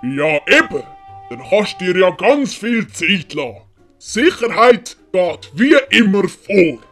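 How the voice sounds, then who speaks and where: A woman voicing a sly, scheming man who wheedles slowly in an audio play. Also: lowish voice, big pitch swings, faint music behind, heavily acted. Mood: sly, coaxing. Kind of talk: German-language audio play acting.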